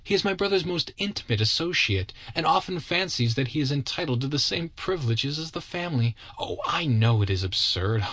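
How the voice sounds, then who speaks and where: A man's voice: mid-range voice, big pitch swings, fairly quick, a bit bright, dry recording, pitched above the performer's own voice. A man voicing a proud, upset young society woman who pleads and stammers in a flustered way, in a dry, close recording. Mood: pleading.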